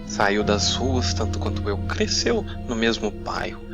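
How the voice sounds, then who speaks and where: Man playing a jaded, low-voiced streetwise prostitute woman. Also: sing-song, a little slow, lo-fi sound, loud music behind the voice. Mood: bitter, spiteful. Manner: sighing, bitter, flirty, calls listener "my dear". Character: jaded, sardonic woman prostitute in steampunk slum. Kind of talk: tabletop role-play improvised dialogue.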